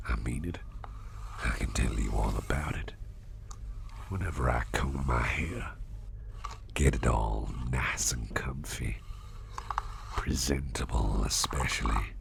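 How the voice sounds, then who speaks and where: A man playing a gentle, doting businessman boss in an ASMR roleplay, murmuring soothingly in a gravelly, breathy half-whisper. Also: extremely low pitch, a little hiss or hum, exaggerated voice. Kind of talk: ASMR roleplay, personal attention.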